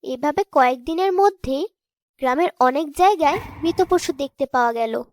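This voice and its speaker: High-voiced woman telling a fairy tale. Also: animated, moderate pace, slight background noise. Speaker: storyteller. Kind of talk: scripted fairy-tale narration.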